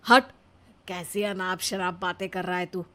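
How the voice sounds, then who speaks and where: A woman's voice: fairly low pitch, fairly even intonation, measured pace, sharp tone, voice pushed down. A woman voicing an overconfident, scheming but dim married man with a breezy, self-assured manner in a story. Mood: irritated.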